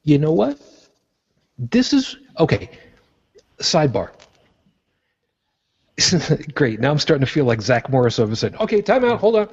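A man's voice: on the high side, big pitch swings, normal speed, long pauses, slightly rough, lo-fi sound. A man from Brooklyn co-hosting a podcast in casual chat, rambling and correcting himself as he jokes. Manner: rambling, self-correcting, jokey. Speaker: podcast co-host.

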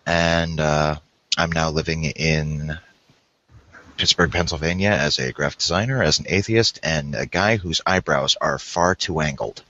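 Deep-voiced joking man from Chicago. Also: pushed and bright, dry recording. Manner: joking, quick asides. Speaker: panelist. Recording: dry, muffled call audio.

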